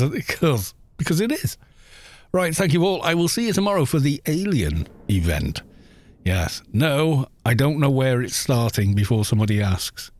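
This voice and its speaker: A man's voice: mid-range voice, darkish tone, no echo. A man chatting casually on a game livestream, rambling along with self-deprecating good humour.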